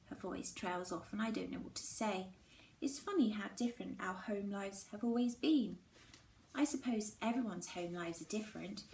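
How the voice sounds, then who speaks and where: A woman teacher reading a children's novel aloud in an expressive storytelling style. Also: medium pitch, moderate pace, vocal fry. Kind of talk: expressive storybook read-aloud with acted dialogue. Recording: roomy echo with faint background noise.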